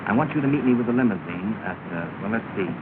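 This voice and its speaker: An actor playing a scheming young rival suitor, curt and conniving in a 1930s film. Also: male voice, lowish voice, expressive, quick pace, close to an everyday voice. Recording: tinny hissy 1930 film soundtrack.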